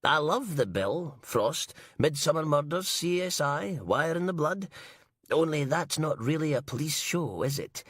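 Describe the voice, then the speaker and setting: A man's voice: on the high side, lively intonation, unhurried, a bit bright, breathy edge, no room sound, clean studio sound, voice pushed up, full character voice. A man reading an audiobook, voicing an eager, chatty elderly man with a wheezy edge. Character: chatty elderly male hall caretaker, eager.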